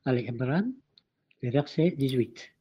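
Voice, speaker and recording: Man, French speaker, caller on a dull lo-fi online call; halting, repeats himself. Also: mid-range voice. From Spain. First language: not French. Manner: halting, repeats words while searching for French. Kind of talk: asking a question in an online call. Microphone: online call microphone.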